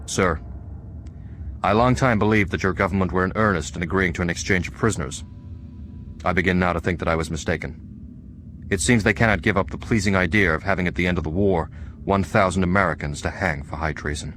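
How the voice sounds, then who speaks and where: Very deep, grave statesman. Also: a little fast, fairly bright tone, slight background noise. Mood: grave, disillusioned. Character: grave, indignant 18th-century statesman dictating a formal letter. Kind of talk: formal period letter reading in radio drama.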